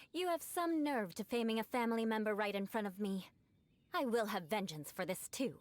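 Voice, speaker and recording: A woman's voice: fairly high pitch, animated, moderate pace, dry recording, lightly acted. A woman voicing a proud young noblewoman knight who speaks formally and aloofly, making dry threats of vengeance, in video game dialogue. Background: game music.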